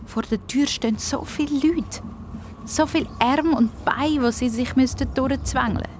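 A woman's voice: high voice, a little slow, a little mellow, a little hiss or hum, voice pushed up. A woman with a Swiss German accent voicing an anxious but loyal young girl in a children's audio story. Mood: worried.

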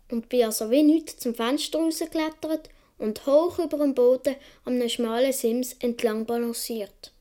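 A woman's voice: high-pitched, darkish tone, close to an everyday voice. A woman playing a young Swiss boy who tells his childhood adventures vividly in a radio play, with a Swiss German accent. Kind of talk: first-person radio play narration.

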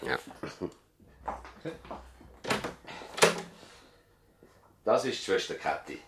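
An actor playing a courteous older country doctor in a radio play, speaking formally with a Swiss German accent. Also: male voice, on the low side, big pitch swings, quick pace, creaky, very noisy. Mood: courteous, businesslike. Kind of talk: 1970s radio drama dialogue.

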